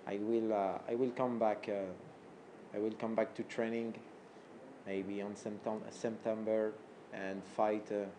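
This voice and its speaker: A man from France, a native French speaker, answering interview questions in halting English with many fillers and restarts. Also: fairly low pitch, little pitch movement, background noise. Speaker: fighter being interviewed. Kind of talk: interview answers in second language.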